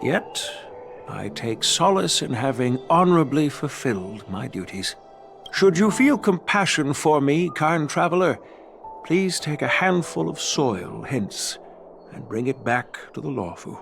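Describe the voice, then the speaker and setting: An elderly game general with a slow delivery that rises and dips freely. He is kindly, teasing and wise, with music playing behind him. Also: fairly high pitch, sing-song, vocal fry, full character voice. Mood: solemn. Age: older. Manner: measured, teasing, sage-like. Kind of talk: English video game voice acting.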